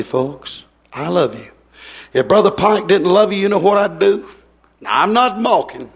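A hoarse man preaching a fiery revival sermon, hollering and then dropping soft. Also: fairly high pitch. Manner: hollering, swings from loud to soft.

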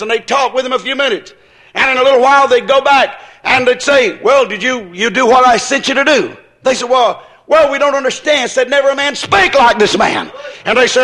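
Male preacher, very high-pitched and forceful, folksy and emphatic, delivering an impassioned sermon. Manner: emphatic, repetitive, building phrases into shouts; folksy, colloquial phrasing. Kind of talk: impassioned sermon with acted-out dialogue.

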